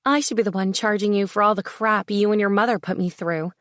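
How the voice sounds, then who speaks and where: A woman's voice: mid-range voice, steady tone, fairly quick, no echo, clean studio sound, natural voice. A woman voicing a defiant young woman answering back to her abusive ex. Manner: sharp, defiant.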